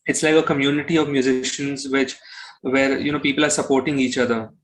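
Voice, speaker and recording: A man's voice: medium pitch, steady tone, brisk, rough recording. A man from Kolkata with an Indian accent, answering interview questions casually, often circling back over his phrasing with "you know". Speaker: musician interview guest.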